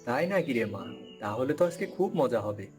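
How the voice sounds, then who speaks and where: A man plays a poor farmer from a cartoon village tale. He grumbles but stays affectionate, in a medium-pitched voice. Soft music sits behind him. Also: sing-song, a little fast, close to an everyday voice. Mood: affectionate, everyday. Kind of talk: Bengali cartoon folk tale acting.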